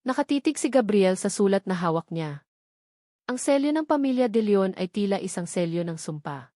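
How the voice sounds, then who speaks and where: A woman's voice: mid-range voice, animated, normal speed, mellow, dry recording, clean studio sound. A woman reading a scripted romantic drama as a storyteller, in narration style.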